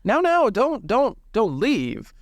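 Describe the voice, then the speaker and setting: A man voicing an eager, wheedling shopkeeper of curios in an improvised tabletop game, full of hesitant, flattering sales patter. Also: high-pitched, slow pace, no echo, clean studio sound, exaggerated voice. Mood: eager, pleading.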